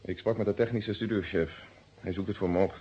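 An actor playing a methodical police inspector in a vintage radio play, with formal, precise phrasing and a Netherlands Dutch accent. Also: male voice, sits low, fairly even intonation, fairly quick, breathy edge. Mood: polite, official. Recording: dull, muffled old radio recording.

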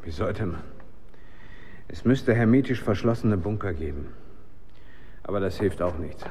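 A resigned, questioning man in a radio drama, his dark, low voice moving with lively inflection. He reasons soberly, over a faintly noisy recording. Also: measured pace. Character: sober, resigned man seeking answers about the threat. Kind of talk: German radio drama acting.

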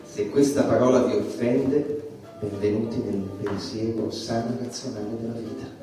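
Mid-pitched male comic, acted by a man, irreverent, mocking, declamatory stage monologue, heavy echo, music behind. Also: fairly even intonation, normal speed, close to an everyday voice. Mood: mocking, provocative. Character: irreverent, provocative male stand-up comedian attacking religion. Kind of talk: theatrical stand-up monologue. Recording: echoey, distant, music behind.

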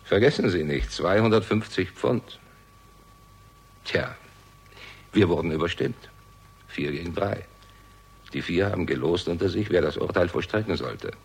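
A man's voice: low voice, expressive, measured pace, creaky, long pauses, husky, exaggerated voice, rough recording. A man playing a bitter, cynical war veteran in a German radio crime drama, speaking in a curt, sardonic way. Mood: grim, bitter.

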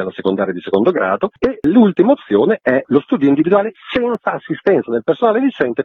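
Husky man on a phone-like line. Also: medium pitch, no room sound. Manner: explanatory, long detailed sentences. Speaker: interviewed spokesman of a secular association. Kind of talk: explanatory radio interview.

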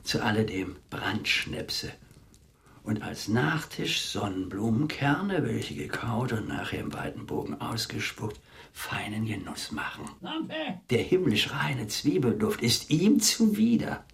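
A man's voice: on the low side, very animated, a little slow, gravelly, a bit bright, exaggerated voice. An actor playing a capricious, theatrical man in a radio play, declaiming and savouring his words, moving between murmurs and calls. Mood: savouring, wry. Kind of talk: German radio play acting.

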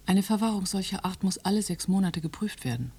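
Female inspector for radio drama: dark, breathy husky voice, measured, quietly defiant, dry. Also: mid-range voice, expressive. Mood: measured, firm.

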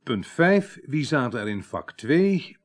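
An actor playing a brisk, businesslike police inspector in a 1980s radio crime drama, giving impatient orders in speech with a husky voice. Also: male voice, mid-range voice, very animated. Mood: methodical.

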